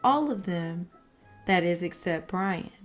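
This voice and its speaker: A woman narrating a children's picture book as a storyteller, with expressive, deliberate phrasing for young listeners. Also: lowish voice, soft background music. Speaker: read-aloud storyteller.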